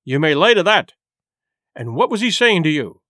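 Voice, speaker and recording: A man's voice: a little high, very animated, normal speed, fairly bright tone, dry recording, clean studio sound. Man performing a hearty, blustering old-salt tavern landlord. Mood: stern, scolding. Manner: hearty, blustering, salty seafaring talk. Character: genial, loud, shrewd one-legged sailor turned tavern landlord. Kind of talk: audiobook character voice.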